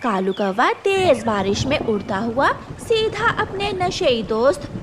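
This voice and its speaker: A woman's voice: sits high, big pitch swings, measured pace, noisy background. A woman reading scripted narration for a children's cartoon story.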